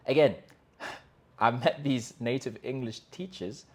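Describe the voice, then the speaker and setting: A man hosting a language-learning channel, speaking straight to his viewers in an emphatic pitch full of rhetorical questions and quick restarts. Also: a little mellow. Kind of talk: direct-to-camera pitch talk. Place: in Colombia.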